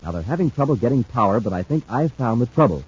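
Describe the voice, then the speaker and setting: A man's voice: medium pitch, very animated, fast talker, darkish tone, husky. An American man announcing and narrating in a punchy, theatrical 1950s radio style. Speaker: radio announcer and sponsor pitchman. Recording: dull old radio recording, faint noise.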